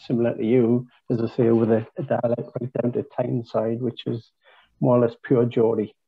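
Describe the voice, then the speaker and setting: Over a tinny, phone-like connection that breaks up, a man from Northumberland puts questions to a speaker. His voice is husky and gravelly, and his delivery is casual. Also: mid-range voice, little pitch movement, brisk. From Northumberland, England. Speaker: audience member asking questions. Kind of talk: casual questioning on a video meeting.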